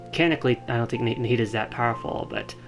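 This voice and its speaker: A man giving casual live-stream game commentary, rambling and halting as he thinks aloud. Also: medium pitch. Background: game music.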